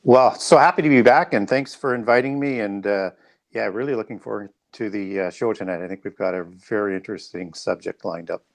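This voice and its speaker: A man with a slightly low voice talks as a guest expert. He explains point by point in a studious, somewhat halting manner, correcting himself along the way. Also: creaky. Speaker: guest author. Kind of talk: explanatory interview talk.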